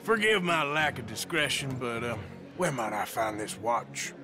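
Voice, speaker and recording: A man playing a gruff middle-aged outlaw who talks in a dry, teasing way. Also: medium pitch, sing-song, a little slow, over music. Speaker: card player and robber.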